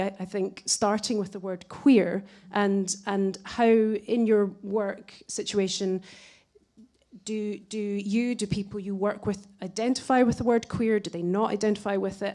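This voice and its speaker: A woman's voice: medium pitch, warm tone. A woman moderating a live panel discussion, polite and hesitant, with many fillers as she talks. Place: gallery event space.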